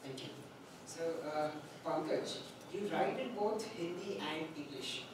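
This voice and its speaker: A man's voice: on the high side, animated, a little slow, distant mic. Male moderator in a reverberant hall. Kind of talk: asking panel questions. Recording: echoey hall, mic from afar, hum.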